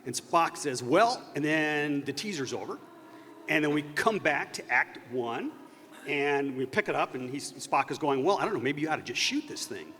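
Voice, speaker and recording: Guy rambling thru a story on a convention stage, kinda high voice that jumps around, big echoey hall. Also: animated, normal speed, sharp tone, a little hiss or hum. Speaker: panelist recounting unproduced TV episodes.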